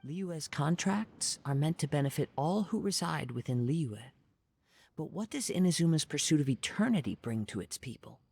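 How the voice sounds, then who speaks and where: An actor playing a serene young wandering samurai in an anime-style video game, soft-spoken, formal and measured. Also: male voice, fairly high pitch, expressive, gravelly, husky, exaggerated voice. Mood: pensive.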